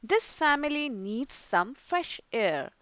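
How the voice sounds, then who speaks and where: Woman doing storybook mom, brisk and motherly, bright high voice. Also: sing-song, clear, resonant tone, no room sound, exaggerated voice. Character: cheerful caring mother.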